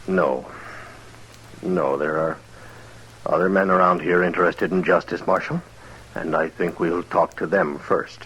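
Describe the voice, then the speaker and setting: An actor playing a defiant, menacing troublemaker in an old-time radio western, speaking insolently and deliberately. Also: male voice, sits low, fairly even intonation, a bit bright, husky, exaggerated voice, poor audio. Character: defiant, menacing male troublemaker facing down a marshal.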